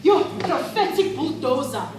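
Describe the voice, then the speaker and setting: A woman's voice: high voice, animated, unhurried, a loud crowd. A woman with a South African accent performing a dramatic monologue as a devout, troubled churchgoer, emphatic and theatrical, repeating phrases for effect. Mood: excited. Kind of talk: dramatic spoken-word monologue. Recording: very echoey room, distant mic, music behind.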